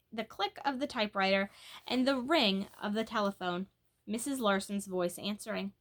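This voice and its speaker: A woman reading a children's book aloud in an expressive, animated and emphatic way. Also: fairly high pitch. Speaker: storybook reader.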